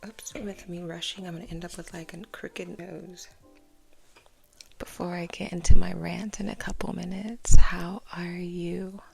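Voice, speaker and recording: A woman in her thirties, sleepy and just up, chatting casually in a soft whisper while doing her makeup at home. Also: fairly low pitch, level tone, a little slow, creaky, soft background music. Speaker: vlogger. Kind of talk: soft-spoken whispered get-ready-with-me vlog.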